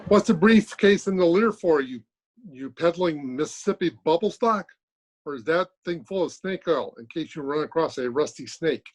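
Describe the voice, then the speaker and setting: A man performs a middle-aged town doctor in a 1950s-style radio comedy. He is grumpy and exasperated, slinging dry sarcasm in a somewhat high voice. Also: animated, moderate pace. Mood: sarcastic. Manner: dry insults, exasperated, grudging.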